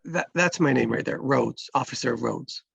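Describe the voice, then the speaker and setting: An actor playing a friendly, flirty male police officer in a screenplay table read, gravelly, husky, unhurried and teasing. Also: medium pitch, a bit bright, dry recording, exaggerated voice.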